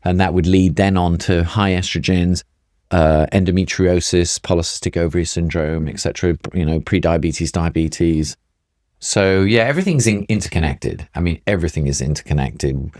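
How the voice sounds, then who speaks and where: A man's voice: deep voice, moderate pace, darkish tone, no echo, clean studio sound. A middle-aged or older man explaining things conversationally as a doctor, emphatic and repeating points for stress. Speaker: functional medicine doctor, guest.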